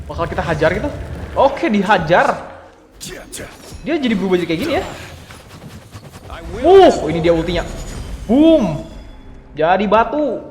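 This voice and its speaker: Young man, gaming streamer, excitable, high voice, echoey room, game music. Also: normal speed, long pauses. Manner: chatty, excitable, slangy. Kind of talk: casual gameplay commentary.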